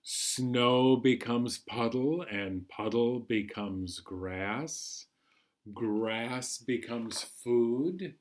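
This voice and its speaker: A man hosting a children's storytime, reading a picture book aloud in a measured, singsong way. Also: medium pitch, a little mellow. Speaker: children's storytime host at a library.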